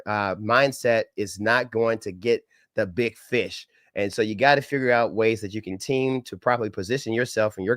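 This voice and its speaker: A middle-aged man leads a live-stream training in an upbeat, folksy way, with greetings that sound a bit like a preacher. A head cold leaves his voice a little crackly and nasal. His pitch sits in a middle range, and the recording is close and dry. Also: a bit bright, dry recording. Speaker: government contracting trainer. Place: home setup in Dallas.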